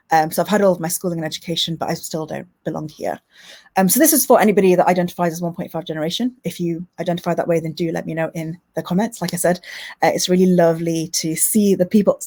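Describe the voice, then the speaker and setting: A woman's voice: lowish voice, a little mellow. A woman, a poet who chats hesitantly with lots of "um"s between pieces, then reads her own poetry with impassioned rhythm. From Manchester, England. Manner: hesitant, filler-heavy chat between poems. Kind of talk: spoken word poetry reading with chat to an online audience.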